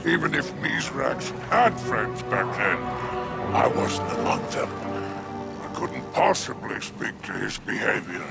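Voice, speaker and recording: A man playing a sly, scheming alien crime boss in a video game, coy and drawling, with a gravelly, creaky voice. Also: mid-range voice, big pitch swings, takes it slowly, heavily acted. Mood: smug, musing. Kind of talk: video game character acting. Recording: music behind the voice.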